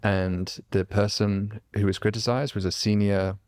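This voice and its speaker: A man's voice: lowish voice, level tone, unhurried, a little mellow, smooth. A man hosting a podcast, talking in an earnest, reflective way in a casual conversation. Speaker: podcast host.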